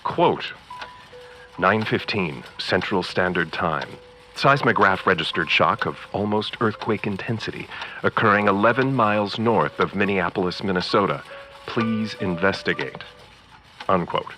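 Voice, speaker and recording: A man's voice: deep voice, measured pace, sharp tone, soft background music. A man playing a pompous, condescending radio field reporter in formal, theatrical 1930s newscast style. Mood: grave, formal. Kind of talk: 1930s radio news acting.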